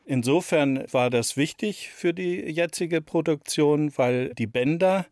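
A man's voice: fairly high pitch, measured pace, a little mellow, dry recording. Man in his sixties, very dry sound. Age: 60s. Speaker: radio play author being interviewed. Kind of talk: reflective interview answers.

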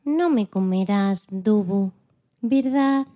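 A woman's voice: mid-range voice, takes it slowly, lightly acted. A woman voicing a sweet, trusting little sheep in a childlike way for a children's storybook radio play. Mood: timid, hopeful. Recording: loud noise and clicks.

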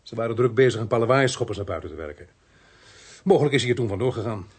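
Husky, weary police inspector. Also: male voice, medium pitch, expressive, fast talker. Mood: matter-of-fact. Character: weary, patient, polite male police inspector. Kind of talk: radio play acting.

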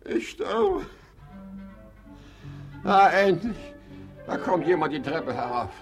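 A creaky, fussy old-style miserly hypochondriac. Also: male voice, sits high, wildly swooping pitch, slow pace, over loud music, exaggerated voice. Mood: wailing, self-pitying. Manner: theatrical muttering, wailing and scolding. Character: fussy, miserly male hypochondriac, querulous and self-pitying. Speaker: actor. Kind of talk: German radio play comedy acting.

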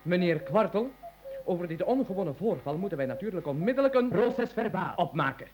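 A man's voice: high-pitched, lively intonation, a little fast, a little mellow. An actor playing a prickly, officious, literal-minded man in an absurdist radio play, speaking formally and insistently with precise enunciation. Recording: faintly noisy old radio recording.

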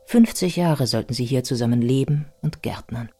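A woman delivers scripted biographical narration for a radio play. Her voice sits deep, and music runs clearly behind it. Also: normal speed, dark tone.

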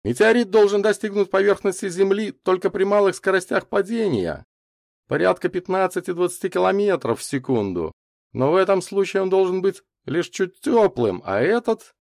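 Man acting excitable old professor, high-pitched and lively. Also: gravelly, no room sound, clean studio sound. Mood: puzzled. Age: older. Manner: excitable, hurried, questioning. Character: thick-moustached stocky old professor, brisk, fussy meteorite expert.

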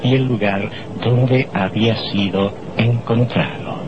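A man's voice: fairly low pitch, steady tone, slow, background noise. A man narrating an old radio drama from a script in a solemn, dramatic, literary manner. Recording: muffled old radio recording.

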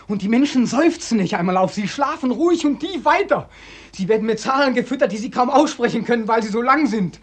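An actor playing a bitter, exhausted young man back from war in a radio drama, anguished and rhetorical, with a breathy, strained voice. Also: very high voice, lively intonation, fairly quick, no room sound, exaggerated voice. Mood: bitter, frantic anguish. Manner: anguished, rhetorical, repeating himself. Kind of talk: German radio drama acting.

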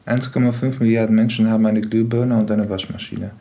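An actor playing a guarded, cerebral aid worker in a naturalistic German radio drama, talking in a dry, reflective way. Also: male voice, lowish voice, level tone, a little fast, mellow, close to an everyday voice. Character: guarded, cerebral man running an aid NGO.

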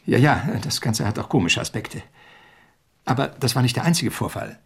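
An actor playing a middle-aged corporate executive in a radio drama, speaking in a formal, businesslike and insistent way. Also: male voice, mid-range voice, animated, normal speed, creaky, breathy edge, full character voice.

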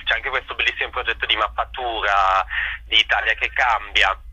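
A man's voice: lowish voice, fairly even intonation, moderate pace, bright, forceful tone, slightly rough, no echo, highs cut off. A man giving informal, spontaneous interview answers as an event organizer, hesitantly searching for his words.

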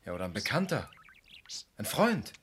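An actor playing a businesslike, pressing police lieutenant in a 1970s radio crime play. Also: male voice, lowish voice, wildly swooping pitch, moderate pace, fairly bright tone, slight background noise. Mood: persistent. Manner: matter-of-fact, pressing. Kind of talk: 1970s German radio crime drama acting.

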